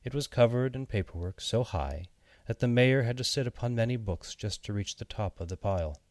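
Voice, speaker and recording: A man narrating a children's fairy tale from a script, with measured, deliberate and expressive phrasing. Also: mid-range voice, dry recording, clean studio sound. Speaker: audiobook narrator.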